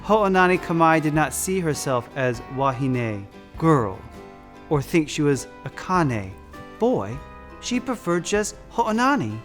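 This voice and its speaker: A man's voice: on the high side, takes it slowly, faint music behind. A man who performs as a drag queen storyteller, reading and chatting in a dramatic, effusive, campy way. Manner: effusive, campy, often calls listeners "darlings"; dramatic, expressive delivery. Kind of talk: theatrical read-aloud of picture books with chatty asides.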